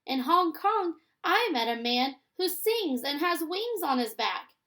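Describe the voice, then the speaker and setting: A woman reading the part of an adventurous grandmother telling travel tales in a storybook, with drawn-out emphasis. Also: sits high, sing-song, pushed and bright, pitched above the performer's own voice, heavily acted. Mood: playful.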